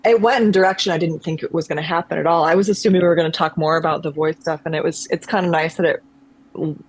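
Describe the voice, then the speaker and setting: A woman's voice: sits low, fairly even intonation, fast talker, slightly rough. A woman, a conservatory-trained actress, speaking as a guest on a video call, thoughtful and meandering with frequent restarts. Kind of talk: reflective conversational chat.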